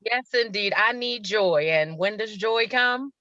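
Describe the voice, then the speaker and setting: A woman's voice: medium pitch, unhurried, sharp tone, no room sound, highs cut off. A middle-aged woman telling stories casually as an interview guest, effusive and repeating words for emphasis. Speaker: stage actress, interview guest.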